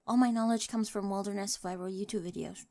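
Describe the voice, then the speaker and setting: A woman's voice: medium pitch, fairly even intonation, fast, warm tone, pitched below the performer's own voice, close to an everyday voice. A woman voicing an eccentric, infatuated teenage girl, rambling nervously and over-eagerly in an ASMR romance roleplay. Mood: sheepish confessing. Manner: rambling, self-undercutting, nervous confessions.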